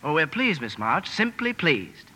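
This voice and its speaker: Well-bred young gentleman: polished, courteous, youthful, bright and somewhat high, 1940s radio drama. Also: very animated, unhurried, exaggerated voice.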